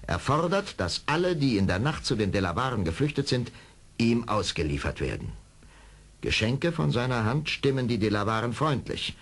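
A man narrating a radio play as a dramatic storyteller, with a husky voice. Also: medium pitch, very animated, moderate pace.

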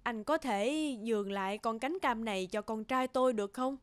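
A woman voicing a gentle, courteous young mother in a cartoon folktale, coaxing and kindly. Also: on the high side, moderate pace, smooth, no room sound, lightly acted. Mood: polite request.

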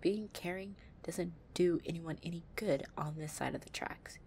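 A woman playing a possessive young gang-leader girlfriend, tough but tender, in an intimate, soft-spoken audio roleplay. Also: on the low side, moderate pace, a little hiss or hum, natural voice. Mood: tense, resolute.

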